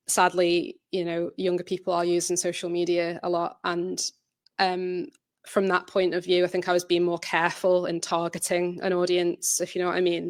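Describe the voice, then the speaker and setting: A woman's voice: lowish voice, dry recording, clean studio sound. A woman speaking as a writer at an informal question session, giving hesitant, reflective answers full of qualifications. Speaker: audio drama writer and presenter. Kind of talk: informal presentation Q&A, conversational answers.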